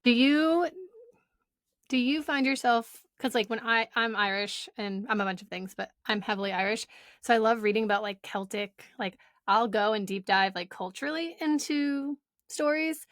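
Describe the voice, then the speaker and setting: A woman's voice: fairly high pitch, moderate pace, fairly bright tone, no room sound. Woman co-host in a dry, close room. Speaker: podcast co-host. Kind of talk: casual chat.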